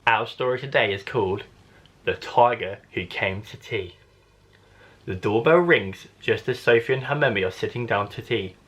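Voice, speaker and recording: A man's voice: on the low side, moderate pace, a bit bright, slightly rough. A man, a primary school teacher, reading a children's storybook expressively to young listeners.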